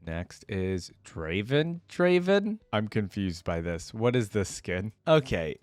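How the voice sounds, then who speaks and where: A man's voice: fairly low pitch, big pitch swings, takes it slowly, no room sound, soft background music. A man giving casual, off-the-cuff reaction commentary as a video host, thinking aloud in an informal, wryly bemused way.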